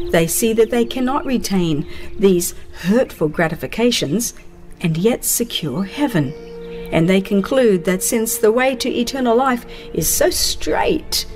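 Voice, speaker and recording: A woman's voice: medium pitch, darkish tone. A woman narrating a religious book in a solemn, formal, dramatized reading. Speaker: audiobook narrator.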